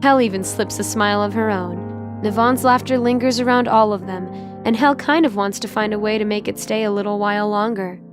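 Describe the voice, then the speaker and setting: Casting: woman narrator for an audio drama, medium pitch, dramatic scripted read with light music bed. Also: steady tone, fairly quick.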